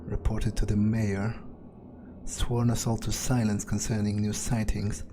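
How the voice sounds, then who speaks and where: A man giving in-character gameplay commentary, thinking aloud in a musing, hesitant way with pauses mid-phrase. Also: lowish voice, flat delivery, noisy background. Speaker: gamer doing an in-character playthrough. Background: game music.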